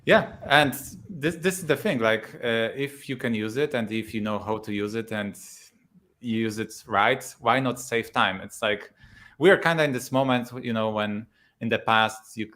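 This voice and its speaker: A man's voice: lowish voice, normal speed. A man in his thirties from Poland with a Polish accent, a YouTuber talking casually as a guest and laughing often. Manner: relaxed, laughs often, many fillers. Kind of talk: casual interview talk.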